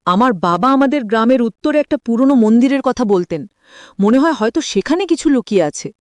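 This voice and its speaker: A woman voicing a thoughtful, earnest elderly grandfather in a story, in a measured, reflective manner. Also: on the high side, lively intonation, no echo, lightly acted.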